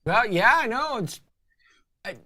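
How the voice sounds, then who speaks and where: A man's voice: very high-pitched, unhurried. A man in his fifties hosting a casual live show, reading out viewer comments and bantering in a teasing way. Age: 50s. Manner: animated, teasing.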